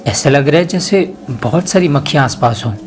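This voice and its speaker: A man's voice: fairly high pitch, lively intonation, brisk, slight background noise, close to an everyday voice. Earnest young man in an audio drama, acted by a man. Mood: eager, curious. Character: adventurous young male university student lost in haunted forest. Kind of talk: amateur Urdu audio drama acting.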